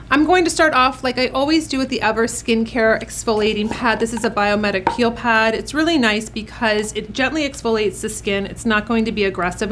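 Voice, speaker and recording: A woman's voice: medium pitch, a bit bright, a little hiss or hum. A woman hosting a makeup tutorial, speaking to her viewers in an upbeat, encouraging way. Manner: upbeat, encouraging pep-talk delivery. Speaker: host, makeup artist. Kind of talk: beauty tutorial vlog, addressing viewers.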